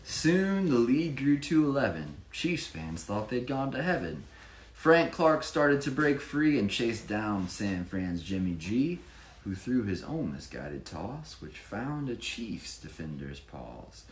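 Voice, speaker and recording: Animated man reading a rhyming storybook aloud. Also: mid-range voice, slow. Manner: emphatic, explains to a child along the way. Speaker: children's author reading own book. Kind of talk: expressive rhyming picture-book reading with casual explanations. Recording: echoey room with faint background noise.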